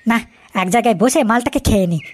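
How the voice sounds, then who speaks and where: A man's voice: very high voice, very fast, a little hiss or hum. A man voicing a grumpy, foul-mouthed, reluctant young village bridegroom in a comedy cartoon. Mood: self-pitying. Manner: grumbling, coarse, swearing. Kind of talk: Bengali comedy cartoon acting.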